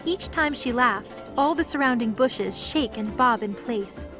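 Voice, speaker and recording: A woman's voice: on the high side, measured pace, over music. A woman reading scripted present-tense narration for an audio drama.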